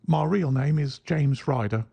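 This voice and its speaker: A man's voice: on the high side, unhurried, creaky, warm tone, breathy edge, no room sound, pitched above the performer's own voice, exaggerated voice. A man voicing a nervous, frightened little man who whines and speaks in a quavering voice, in a storybook reading. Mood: nervous whining. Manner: whining, quavering.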